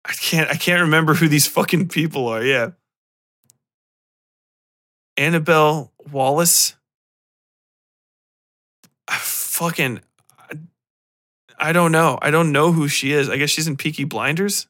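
A man's voice: a little high, measured pace, long pauses, bright, forceful tone. A man hosting a podcast, rambling through casual film commentary in a profane, exasperated, sarcastic way. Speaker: podcast host.

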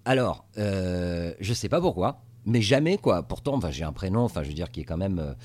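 Lively hesitant man, dry close mic. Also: deep voice, fairly quick, no echo. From Tunisia. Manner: hesitant, many fillers and restarts. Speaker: TV journalist based in the US. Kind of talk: casual interview answers.

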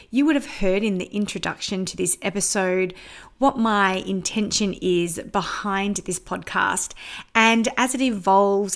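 An Australian woman in her thirties hosting a podcast, telling her personal story in an earnest, open way with soft fillers. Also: medium pitch. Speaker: podcast host.

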